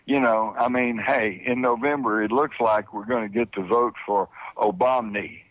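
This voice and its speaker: A man's voice: fairly low pitch, fairly even intonation, normal speed, fairly bright tone, no echo, lo-fi sound. An older man speaking as a radio interview guest, opinionated and emphatic with a folksy way of talking. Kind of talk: opinionated political discussion.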